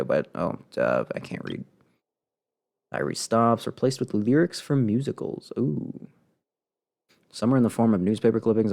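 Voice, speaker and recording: Low-voiced wry male game streamer. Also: creaky, mellow. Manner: wry, rambling. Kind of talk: casual live gameplay commentary.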